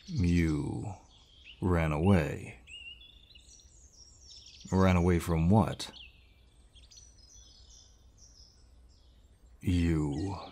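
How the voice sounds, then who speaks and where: An actor playing a large, gruff but kindly barbarian warrior in an audio roleplay, measured and reassuring, with a deep voice. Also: male voice, darkish tone. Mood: calm, questioning. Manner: measured, reassuring, with pauses and sighs. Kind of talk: scripted audio roleplay, addressing the listener. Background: birdsong forest sound effects.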